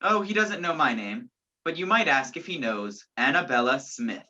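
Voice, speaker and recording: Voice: medium pitch, expressive, normal speed, bright, forceful tone, lo-fi sound. A performer playing an earnest, anxious man on the run in a radio play, polite and hesitant. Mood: polite, guarded. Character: earnest, anxious male fugitive posing as job seeker.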